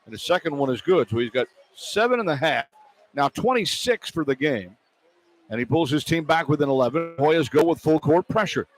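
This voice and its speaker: Higher-pitched man calling basketball on radio. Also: brisk. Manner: clipped, descriptive, rising to excited calls. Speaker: play-by-play announcer. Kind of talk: live radio basketball commentary. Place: broadcast position at an indoor arena.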